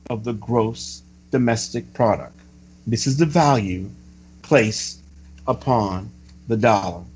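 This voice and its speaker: A man reading a scripted sermon-like report in a solemn, formal, measured oratorical manner. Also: fairly high pitch. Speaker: religious reader delivering a prophecy report. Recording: dull lo-fi recording with music behind. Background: music.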